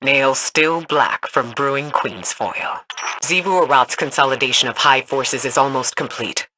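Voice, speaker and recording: A grave, knowing adviser in a sci-fi video game, voiced by a man. He speaks in a measured, middle-pitched voice with noticeable noise behind him. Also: natural voice. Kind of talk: scripted video game acting. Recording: noisy background.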